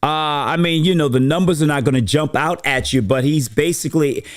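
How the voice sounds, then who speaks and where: Hoarse man breaking down football. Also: on the high side, a little fast, no room sound, studio quality. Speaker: co-host. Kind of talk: casual sports talk.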